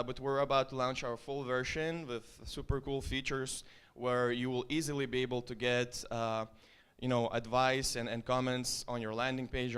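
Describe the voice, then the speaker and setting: A man with an Estonian accent presenting his startup at an event. His voice sits at a middling pitch and comes across smooth and clear. He speaks loosely and jokes with the crowd, filling pauses with plenty of uhs. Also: a bit bright. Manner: loose, joking, casual with filler ums and uhs. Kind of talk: conference stage talk.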